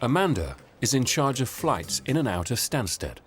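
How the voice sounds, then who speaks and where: A man reading scripted documentary narration in a clear, measured way. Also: mid-range voice, very animated.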